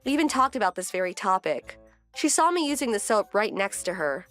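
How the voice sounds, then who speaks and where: A woman's voice: mid-range voice, very animated, fairly quick, fairly bright tone, faint music behind. A woman playing a composed, wry wife who narrates her domestic story in a scripted manga dub. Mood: matter-of-fact.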